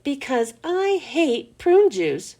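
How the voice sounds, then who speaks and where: Woman as storybook schoolgirl, high and swoopy, grumbly, literal-minded, given to complaint. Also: big pitch swings, slow pace, mellow, exaggerated voice. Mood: grumbling. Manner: complaining, griping.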